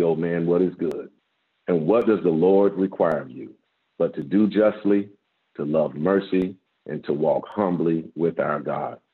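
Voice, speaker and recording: A man in his fifties, a guest pastor, preaching a measured sermon with a strong voice from an office over a video call, rising with emphasis and repeating words as he builds. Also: low voice. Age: 50s. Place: office with framed certificates, indoors. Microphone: video call, laptop camera. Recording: tinny phone-like video-call audio.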